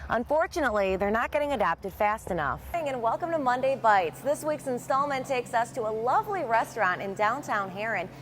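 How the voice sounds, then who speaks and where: A woman's voice: fairly high pitch, lively intonation, brisk, hardly any pauses, slight background noise. A young woman reporting local news and weather for TV in an upbeat, chatty way, with a few fillers.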